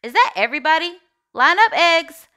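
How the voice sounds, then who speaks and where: A woman's voice: extremely high pitch, sing-song, normal speed, bright, forceful tone, full character voice. A woman voicing an anxious, bossy egg with a dramatic, exclaiming manner in a storybook read-aloud. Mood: counting, alarmed. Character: Shell, anxious bossy egg rallying missing friends.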